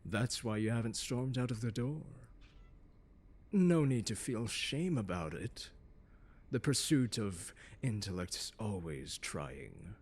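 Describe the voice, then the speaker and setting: A man acting as a haughty male genius scholar in an ASMR roleplay. His voice is fairly low and husky. He lectures his pupil with deliberate, articulate diction and open, mocking condescension. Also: lively intonation, a little mellow, exaggerated voice. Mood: teasing, smug. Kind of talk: ASMR roleplay monologue, second-person lecture.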